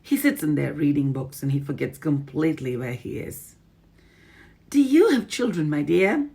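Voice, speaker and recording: A woman voicing an elderly grandmother, calm and affectionate, in a storybook reading. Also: deep voice, normal speed, gravelly, husky, full character voice. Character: tender, cool-headed elderly grandmother.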